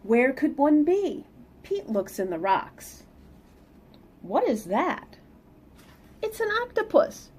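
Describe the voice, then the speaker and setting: A woman's voice: sits high, takes it slowly, long pauses. A middle-aged woman reading a children's picture book aloud expressively, with sing-song emphasis and casual asides. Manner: animated, sing-song emphasis for young listeners. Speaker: read-aloud storyteller. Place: small plain indoor room.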